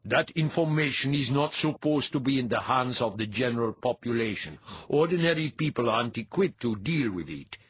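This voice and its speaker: A man's voice: medium pitch, expressive, normal speed, hardly any pauses, husky, no room sound, phone-quality sound, pitched above the performer's own voice. A man voicing a self-righteous, indignant young priest, pompous and accusing, in an audiobook story.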